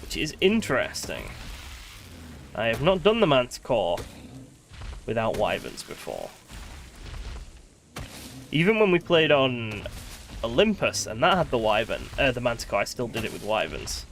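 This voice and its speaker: A man streaming a game with a medium-pitched, very animated voice that swings up and down. He rambles and thinks out loud in a wry, grumbling, sweary way, over some background noise. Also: normal speed, vocal fry, pauses often. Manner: rambling, chatty, thinking aloud. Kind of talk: casual live gameplay commentary.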